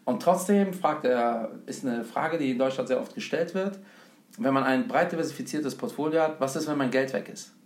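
A man's voice: mid-range voice, normal speed. A man from Germany explaining investing in a casual conversation, with many fillers and examples. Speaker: investment speaker, guest. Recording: roomy echo.

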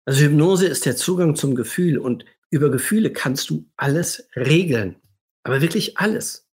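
A man's voice: fairly high pitch, lively intonation, a little mellow. Scratchy-voiced man explaining emphatically. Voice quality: scratchy, husky voice. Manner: emphatic, drawn-out key phrases, rhetorical questions. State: slightly under the weather. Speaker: hypnotherapist hosting a livestream. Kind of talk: explanatory livestream monologue.

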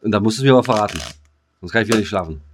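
A man's voice: lowish voice, rapid-fire, slight background noise. A man chatting casually as a podcast co-host in a laid-back, slangy manner. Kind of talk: casual chat.

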